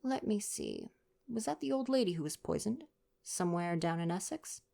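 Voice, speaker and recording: A woman's voice: on the low side, sing-song, normal speed, gravelly, dark tone, pitched above the performer's own voice. A woman voicing a cheeky, slangy young American-born actress and acrobat in a read-aloud novel. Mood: eager. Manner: slangy, brash, playful.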